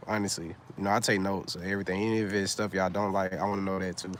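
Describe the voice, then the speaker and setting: A man's voice: low-pitched, level tone, quick pace, a little hiss or hum. A man in his thirties chatting casually on a livestream, laid-back and rambling, full of fillers and slang. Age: 30s. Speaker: vlogger on a livestream.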